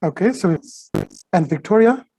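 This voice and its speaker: A workshop facilitator guiding a group exercise on a video call, talking in a halting way with many fillers and restarts. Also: medium pitch, lively intonation, mellow, a little hiss or hum.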